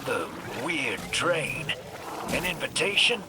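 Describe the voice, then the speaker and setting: Slow, wry rogue informant. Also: male voice, a little high, very animated, noisy background. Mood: wry, ominous. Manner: slangy, dramatic, drawn-out delivery. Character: wry, shady rogue informant, adult man. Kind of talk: scripted video game dialogue.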